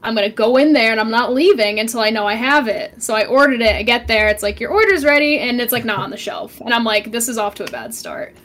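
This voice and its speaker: A young woman co-hosting a casual podcast chat, telling stories with lively acted-out quotes. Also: on the high side, quick pace, hardly any pauses. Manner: animated storytelling, acts out her own quotes. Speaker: podcast co-host.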